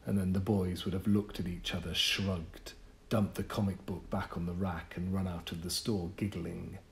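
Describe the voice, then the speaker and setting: A man reading a literary short story as an audiobook, with measured, deliberate phrasing and pauses. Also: low voice, little pitch movement, gravelly, a little hiss or hum. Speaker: audiobook narrator. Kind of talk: scripted literary story narration.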